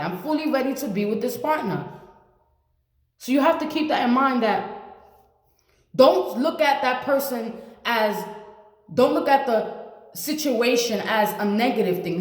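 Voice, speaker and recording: A woman in her late twenties giving blunt, repetitive advice to the camera as a vlogger. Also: fairly high pitch. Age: 20s. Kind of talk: motivational talk to camera. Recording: echoey room with long reverb.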